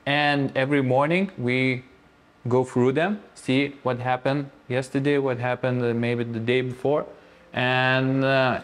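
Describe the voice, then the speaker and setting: A man in his thirties with a Lithuanian accent speaks as a production manager. He explains his figures hesitantly, with frequent fillers, at an ordinary pitch in a factory office. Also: little pitch movement, unhurried.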